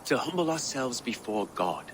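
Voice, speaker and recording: A man's voice: medium pitch, big pitch swings, moderate pace, slight background noise, natural voice. An actor playing a dour, pious young Saxon man with a dry, formal, sardonic manner in a game cutscene. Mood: pious, weary. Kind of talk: video game cutscene acting.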